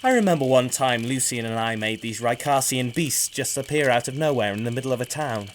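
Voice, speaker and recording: An actor playing a jaded, fatalistic mercenary in an audio drama, weary and drily sardonic. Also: male voice, mid-range voice, brisk, a little hiss or hum, natural voice.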